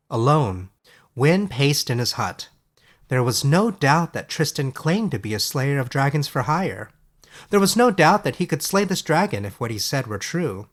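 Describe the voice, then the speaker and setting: A man reading scripted fairy-tale audiobook narration in a measured storytelling manner. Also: mid-range voice.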